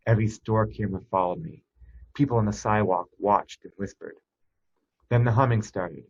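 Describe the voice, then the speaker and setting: A man with a husky voice reads a suspense short story aloud in a tense, expressive first-person style. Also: lowish voice, lo-fi sound. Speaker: story reader. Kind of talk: dramatic scripted narration of a suspense short story.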